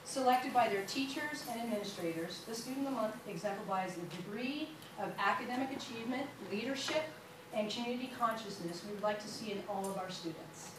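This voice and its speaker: A woman's voice: a little high, fairly quick. Woman reading an award intro in an echoey hall. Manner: reads aloud steadily, with small slips and fillers. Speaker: school official presenting an award. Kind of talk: reading a formal introduction at a meeting. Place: large meeting room. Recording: echoey hall, picked up from far off, with noise.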